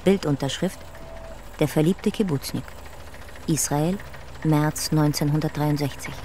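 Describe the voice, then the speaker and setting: An actress as a sober documentary narrator in a radio drama, giving measured, matter-of-fact scripted descriptions. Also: female voice, deep voice, dark tone, slight background noise. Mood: sober, factual.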